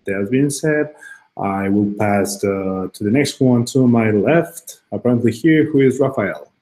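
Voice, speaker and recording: A man from Ecuador on a video call, with a low, mellow voice. He speaks plainly and a little haltingly as he introduces himself and passes the word. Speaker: PhD student and teaching assistant.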